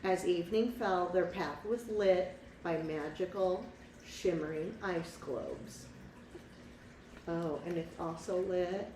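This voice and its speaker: A woman reading a picture book aloud to young children, pausing to explain things simply and ask them questions. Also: lowish voice, darkish tone. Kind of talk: storybook read-aloud with asides to young children. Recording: echoey room, distant mic, faint noise.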